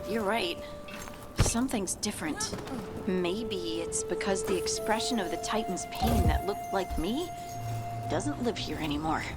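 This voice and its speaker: A woman's voice: mid-range voice, measured pace, natural voice. An actress playing an earnest, searching young dwarf woman in a video game, speaking in a wistful, conversational way. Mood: pensive. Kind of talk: video game dialogue acting. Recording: game music behind the voice. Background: game soundtrack music.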